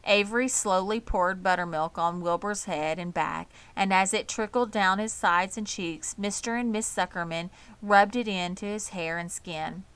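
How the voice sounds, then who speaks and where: Bright-voiced woman reading a children's story. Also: mid-range voice, normal speed, few pauses, clear, resonant tone. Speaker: audiobook reader. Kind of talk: scripted children's story narration.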